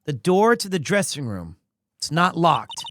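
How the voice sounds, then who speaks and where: A man's voice: a little high, very animated, a little slow, background noise. A man voicing a dry-witted, easily exasperated young male defense lawyer, reading video game dialogue and inner monologue in a matter-of-fact way. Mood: observant.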